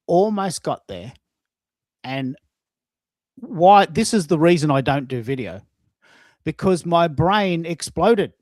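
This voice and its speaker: A man's voice: fairly high pitch, animated, takes it slowly, pauses often, darkish tone, no room sound. An Australian man hosting a casual online chat show, emphatic and repeating phrases for stress. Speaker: host of a live online audio show.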